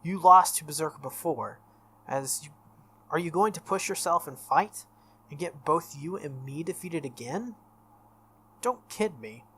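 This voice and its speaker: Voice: medium pitch, animated, a little slow, a bit bright, husky. A game streamer voicing an earnest, protective, stubborn young man who scolds bluntly in a visual novel. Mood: exasperated.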